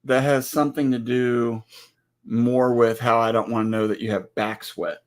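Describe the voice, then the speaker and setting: A man in his forties co-hosting a casual livestream, teasing his wife with deadpan humor. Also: mid-range voice, moderate pace. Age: 40s. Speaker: co-host of a ranch livestream.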